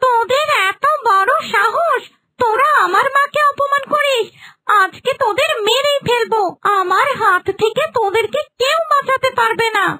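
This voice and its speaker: A woman's voice: extremely high pitch, normal speed, pushed and bright, rough recording. A woman voicing a young wife possessed by a bossy, menacing ghoul in a children's cartoon, imperious and threatening. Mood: angry, threatening.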